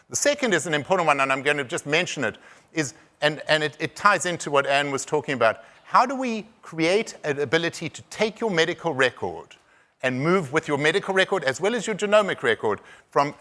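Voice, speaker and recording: A man with a South African accent giving a conference presentation, halting, with frequent restarts and repeated words. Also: mid-range voice, bright, forceful tone. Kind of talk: conference talk.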